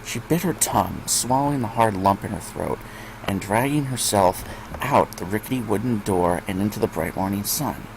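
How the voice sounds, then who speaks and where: A man's voice: on the low side, normal speed, gravelly, noisy background. A man narrating fan fiction expressively, as a story reader.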